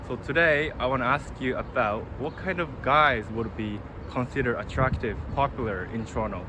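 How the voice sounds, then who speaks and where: A man's voice: mid-range voice, normal speed. Japanese guy doing street interviews, polite simple questions, super noisy city street. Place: busy city street outdoors. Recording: loud street traffic noise.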